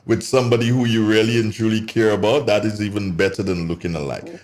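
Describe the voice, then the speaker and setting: A man's voice: on the low side, steady tone, fast talker, darkish tone. A man hosting a morning radio show, chatty and teasing, often repeating his phrases. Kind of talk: casual radio interview chat.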